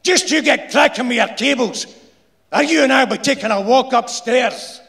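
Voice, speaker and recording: A man voicing an angry, bossy young shipyard foreman with a Glaswegian accent, loud and scolding. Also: extremely high pitch, moderate pace, vocal fry, voice pushed up, heavily acted. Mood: threatening. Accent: Glaswegian Scottish. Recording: echoey theatre stage.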